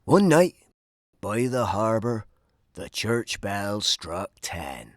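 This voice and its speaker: A man reading a rhyming children's story aloud in an animated, dramatic way. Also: fairly low pitch, slow pace, no echo, clean studio sound. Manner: theatrical, dramatic delivery. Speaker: storybook narrator.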